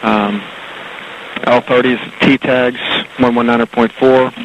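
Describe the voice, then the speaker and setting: A man working as an air traffic controller, giving procedural information in a halting, matter-of-fact way over radio. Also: medium pitch, fairly even intonation. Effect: aviation radio and landline. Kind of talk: radio phraseology, procedural position briefing.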